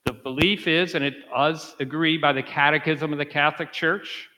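A man preaching a teaching homily at Mass, explaining slowly and correcting himself as he goes. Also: a little high, steady tone, pushed and bright, in a large hall, low-quality recording. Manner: slow, explanatory, self-correcting with light laughs. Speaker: priest. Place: church.

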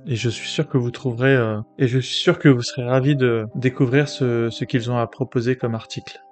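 A man's voice: mid-range voice, fairly even intonation, fairly quick, faint music behind. A man hosting the show, reading an earnest introduction to the episode with frequent filler hesitations. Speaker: host.

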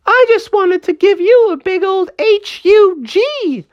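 Living toy villain, acted by a male storyteller: theatrical, taunting, swooping pitch, storybook style. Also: expressive, slow, muffled recording, heavily acted. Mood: sheepish. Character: menacing living toy action-figure villain, secretly affectionate.

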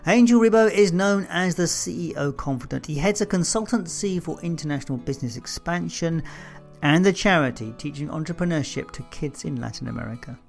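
A man hosting a podcast, reading out a guest introduction in a measured, emphatic way. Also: fairly high pitch, animated, vocal fry, soft background music. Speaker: podcast host. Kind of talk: scripted intro then interview.

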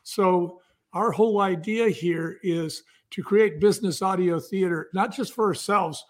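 A man's voice: high-pitched, measured pace. A man speaking as an entrepreneur guest, telling stories in an enthusiastic, conversational way.

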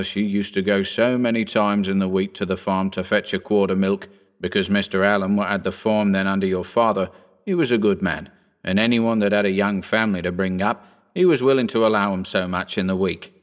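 A gruff old countryman near eighty grumbles in thick rustic dialect, proud and touchy, as a male reader puts him on. His voice sits low and even. He speaks with the slow weight of a village elder. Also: natural voice. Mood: confiding. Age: older.

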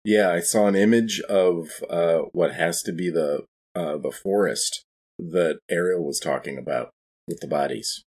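A man's voice: sits low, unhurried, a little mellow, husky. A man playing a tabletop role-playing game, talking casually and a little hesitantly in character.

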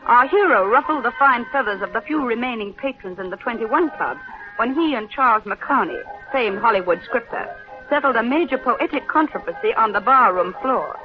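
A woman's voice: a little high, expressive, moderate pace, lightly acted. Actress as wry newspaperwoman, dull vintage radio. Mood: wry, brisk. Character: shrewd society-girl newspaperwoman with a wry wit. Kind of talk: 1930s radio acting. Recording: dull 1930s radio broadcast, music behind.

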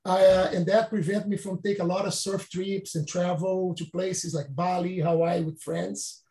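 A Brazilian-born professor in his late fifties speaks in a high, gravelly voice. His phrasing is halting and non-native, full of restarts. The room around him is echoey. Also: flat delivery, mellow. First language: Portuguese. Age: 50s. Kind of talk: reflective interview answers.

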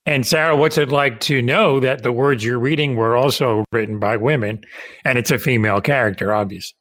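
A man's voice: mid-range voice, a little fast, fairly bright tone, no echo. A man hosting a podcast interview, asking friendly, conversational questions with some restarts. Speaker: podcast host.

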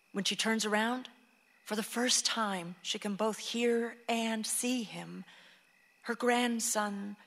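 A woman's voice: medium pitch, a bit bright, big-room sound. A woman narrating a radio play, reading scripted stage directions with composure.

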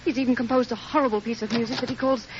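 Woman playing high-voiced, melodramatic society wife. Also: steady tone, very fast, lightly acted. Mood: pleading. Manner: melodramatic, emotional. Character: distraught, indignant society wife, pleading and dramatic. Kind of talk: old radio drama acting. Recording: muffled old radio recording, faint noise.

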